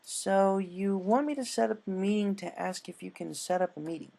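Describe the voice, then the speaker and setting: A man's voice: sits high, normal speed, gravelly, a little mellow, pitched above the performer's own voice. A man voicing a sensible, studious young female unicorn pony, questioning and matter-of-fact, in a story reading. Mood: puzzled.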